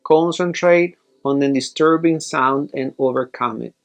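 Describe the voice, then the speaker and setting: Slow-speaking man guiding meditation over music. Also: mid-range voice. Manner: measured, instructional, short pausing phrases. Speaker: meditation teacher. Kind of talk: guided meditation instruction.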